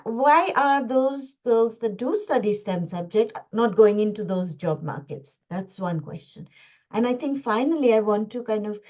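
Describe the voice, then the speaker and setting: A woman from India giving an earnest webinar talk over a video call, halting and full of restarts and fillers. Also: medium pitch, a little mellow. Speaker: guest speaker. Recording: dull lo-fi call audio.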